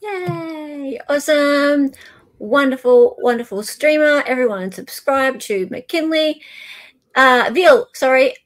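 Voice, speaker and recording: A woman's voice: high-pitched, takes it slowly. A woman hosting a casual livestream, gushing and repeating words for emphasis. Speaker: livestream host.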